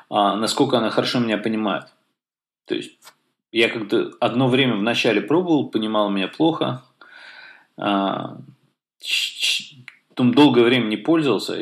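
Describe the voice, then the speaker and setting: A man's voice: lowish voice, vocal fry. A man co-hosting a casual tech podcast, talking with many fillers and restarts. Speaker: podcast co-host.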